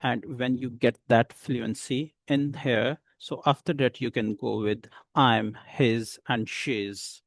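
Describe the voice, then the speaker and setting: Slow, gravelly-voiced male teacher. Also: medium pitch, fairly even intonation, no echo. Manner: slow, patient explaining with many pauses. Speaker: English teacher. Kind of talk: beginner English lesson.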